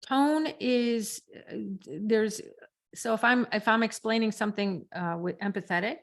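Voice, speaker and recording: A woman's voice: on the high side, measured pace. A woman co-hosting a podcast, teaching speaking technique in a conversational, demonstrative way. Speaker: podcast co-host and coach.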